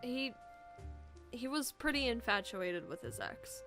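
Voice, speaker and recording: A woman playing a supportive young female friend in a casual improvised roleplay, speaking hesitantly with pauses over background music. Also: fairly high pitch, big pitch swings. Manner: hesitant, sighing, gentle pauses.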